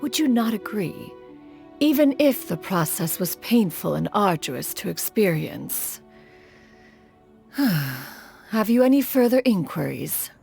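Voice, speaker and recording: A woman voicing an aloof, formal female crane immortal who is master to disciples. She speaks in a measured, archaic way and calls herself "one". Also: medium pitch, very animated, creaky, a little mellow, soft background music, full character voice.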